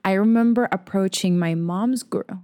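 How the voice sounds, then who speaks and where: A young woman from Venezuela co-hosts a podcast and tells her story in a loose, chatty way. Her pitch sits mid-range, and she often slips into little voices when quoting people. The sound is dry and close, with no room heard around her. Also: normal speed, no echo. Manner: chatty and expressive, laughs often, acts out quoted voices. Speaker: podcast co-host. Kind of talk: casual chat, personal story.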